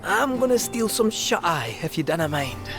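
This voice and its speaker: A man's voice: on the high side, sing-song, normal speed, music in the background. A man playing a laid-back, drowsy companion in a video game, with a Scottish accent.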